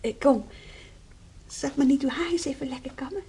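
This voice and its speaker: High-voiced, caring young nurse, played by an actress. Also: female voice, brisk, mellow, natural voice. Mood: coaxing, friendly. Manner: caring, coaxing, fussing. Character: kind, chatty young female nurse, soothing and attentive. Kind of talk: radio drama acting. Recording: slightly noisy old radio play recording.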